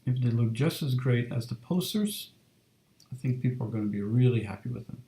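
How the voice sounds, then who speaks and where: Man, 30s, relaxed streamer, gravelly and warm, roomy home mic. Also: mid-range voice. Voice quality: soft, relaxed and unhurried. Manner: chatty, lots of fillers, reads chat. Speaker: streamer drawing fan art. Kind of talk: casual live-stream chat while drawing. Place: home studio room.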